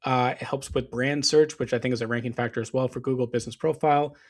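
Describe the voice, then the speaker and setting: A man narrates a screen-share walkthrough in a loose, conversational way, stopping often for ums and restarts. His voice is starting to go hoarse, and he clears his throat now and then. He sits at a middling pitch for a man. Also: steady tone, fast talker. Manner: casual, explanatory, frequent fillers and restarts. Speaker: SEO business owner.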